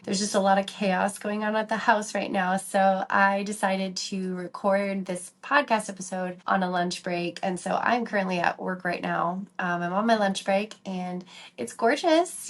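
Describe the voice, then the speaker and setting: Slightly raspy woman with a cold. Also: medium pitch. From South Dakota. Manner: chatty, rambling, friendly explainer. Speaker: podcast host, dental hygienist. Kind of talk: casual solo podcast talk. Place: workplace, on a lunch break.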